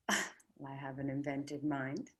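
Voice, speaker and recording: An actress playing a gracious, self-assured and flirtatious glamorous inventor in a screenplay read. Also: female voice, rumbling low, takes it slowly, husky, exaggerated voice. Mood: playful, confident.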